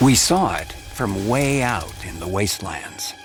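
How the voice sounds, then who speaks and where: Dramatic hard-boiled sci-fi investigator. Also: male voice, fairly low pitch, expressive, measured pace, over music. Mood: grave. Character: hard-boiled male investigator narrating a dystopian tale. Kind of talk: scripted audio drama narration.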